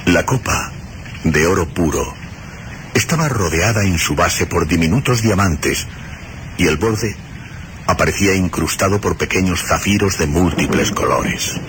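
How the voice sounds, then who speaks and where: A man's voice: low voice, unhurried. A man narrating a scripted suspense and horror radio story in a solemn, dramatic storytelling manner. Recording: loud storm noise over radio drama. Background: rain and storm ambience.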